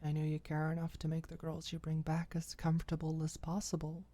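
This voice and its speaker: A woman voicing a gentle, hesitant young woman in an ASMR audiobook, mumbling softly and reassuringly. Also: low voice, completely flat delivery, voice pushed up. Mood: tender, reassuring. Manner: soft mumbling, halting. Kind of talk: audiobook character dialogue, ASMR.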